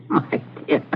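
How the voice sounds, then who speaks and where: An actor playing a domineering, calculating middle-aged father with a falsely jovial manner in an old-time radio thriller. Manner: stammers and chuckles when feigning casualness. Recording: muffled phone-like radio recording, noisy.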